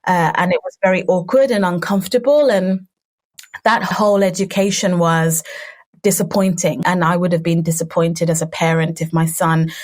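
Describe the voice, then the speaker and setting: A woman's voice: lowish voice, steady tone, normal speed. A woman in her thirties, Ugandan-born and raised in the UK, answering interview questions at length in a fluent, thoughtful way. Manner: fluent, thoughtful, with some fillers. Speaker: guest, children's book publisher.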